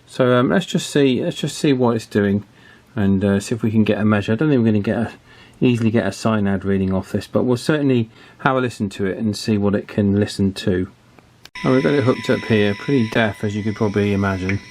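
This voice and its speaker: A man's voice: fairly low pitch, brisk, darkish tone. A man talking informally and thinking aloud as he gives a casual, hands-on technical commentary. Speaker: electronics hobbyist presenting a repair video.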